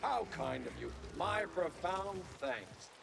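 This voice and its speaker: An actor playing a flamboyant, grandiose eccentric in a sci-fi video game, speaking in a florid, theatrical and pompous manner. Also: male voice, high-pitched, lively intonation, slow, vocal fry, full character voice. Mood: effusive, grandiose. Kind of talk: video game character acting. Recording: noisy ambient background.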